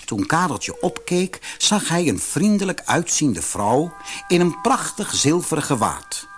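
A man narrating a children's fairy tale audiobook in an expressive storytelling manner. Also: a little high, unhurried.

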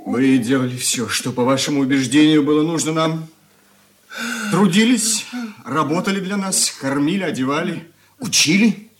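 An actor playing an earnest, hurt young son in a radio drama, speaking in measured, heartfelt phrases. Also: male voice, fairly high pitch, close to an everyday voice. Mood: earnest reproach. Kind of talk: 1980s Soviet radio play acting.

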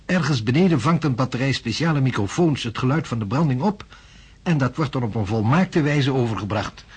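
An actor playing a self-assured, skeptical male physics professor in a radio drama, husky and pompously lecturing. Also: mid-range voice, animated, a little fast. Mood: jovial, smug.

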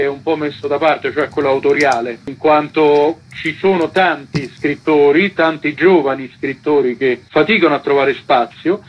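Slightly high male guest, dull lo-fi sound. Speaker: interview guest, theatre festival organiser. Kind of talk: spontaneous explanatory interview. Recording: dull, lo-fi radio interview sound.

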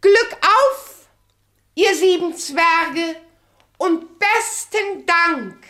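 A woman's voice: very high-pitched, animated, extremely slow, bright, forceful tone, full character voice. A woman playing a scheming evil queen who poses as a sweet pedlar with sly, sugary politeness in a children's radio play. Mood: falsely friendly.

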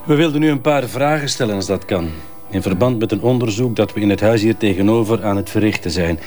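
A man's voice: lowish voice, darkish tone, faint music behind, close to an everyday voice. Courteous, composed Flemish investigator, played by a man. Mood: polite, businesslike. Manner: polite, measured. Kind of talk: naturalistic radio drama dialogue.

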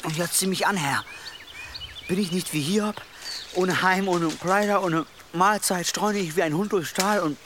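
A man's voice: high voice, measured pace, noisy background. An actor playing a starving, devout male wanderer in a radio play monologue, prayerful and self-pitying. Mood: lamenting. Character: starving, devout wandering man, lamenting, desperately faithful.

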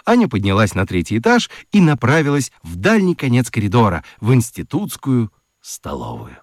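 A man narrating a children's story in an expressive, theatrical storytelling manner. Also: mid-range voice, moderate pace.

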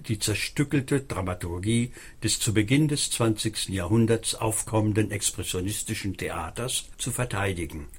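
A man's voice: lowish voice, breathy edge. A man reading a scripted narration for an audio documentary.

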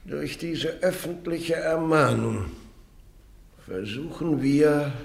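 A man's voice: a little high, expressive, slow, gravelly, echoey room, full character voice. An actor playing a solemn church judge who admonishes and threatens an accused woman in a German radio play. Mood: stern. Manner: solemn, admonishing, grows heated. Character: stern churchly prosecutor interrogating a heretic. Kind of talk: 1950s-60s German radio drama.

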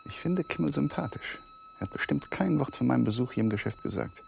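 An actor playing a defensive young lawyer under suspicion in a German radio drama, brittle and sarcastic. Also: male voice, fairly high pitch, huge pitch swings, fast talker, gravelly, a little mellow, a little hiss or hum, heavily acted.

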